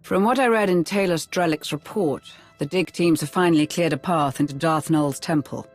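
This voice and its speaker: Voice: mid-range voice, normal speed, faint music behind, natural voice. An actor playing a composed, businesslike colleague giving a dry, formal briefing in a video game. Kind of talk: video game cutscene acting.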